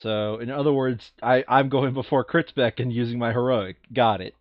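A man's voice: medium pitch, steady tone, normal speed, no room sound, lo-fi sound. A man chatting casually and informally while playing a board game, muttering rules aloud and joking. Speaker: board game player in a recorded play session. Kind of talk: casual gameplay chat.

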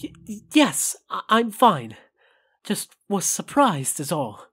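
A performer playing a regal, grave demon prince in an animated fan audio drama, formal, haughty and measured. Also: mid-range voice, husky, heavily acted.

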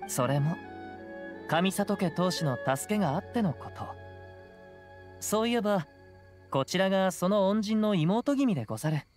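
Game role: humble samurai youth, polite old-style speech, lilting pitch over clear music. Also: male voice, animated, a little slow, long pauses. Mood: modest. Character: courteous, modest young male wandering samurai.

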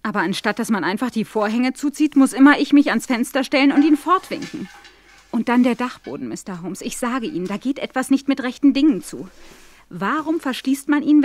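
An actress playing a polite, anxious young governess in a German audio drama, speaking in an earnest, worried, formal manner. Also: female voice, fairly high pitch, natural voice. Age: young adult.

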